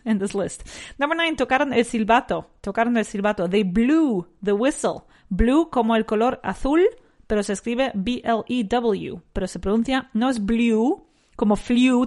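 A woman with a nasal voice teaching an English lesson. She repeats each sentence slowly and switches between English and Spanish. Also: a little high, a little mellow. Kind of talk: bilingual language lesson.

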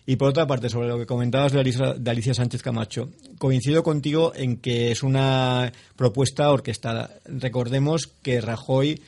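A man from Spain, with a gravelly, fry-tinged voice, analyzes politics on a radio panel. He speaks in a measured way, working through his points with the occasional restart. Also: medium pitch, fairly even intonation, a little mellow. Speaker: political commentator. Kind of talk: panel debate. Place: radio studio.

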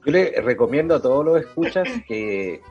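A man's voice: medium pitch, expressive, moderate pace, a little mellow, dull audio, faint music behind. A man hosting a podcast, asking long, rambling, enthusiastic questions. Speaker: podcast host. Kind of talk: casual interview.

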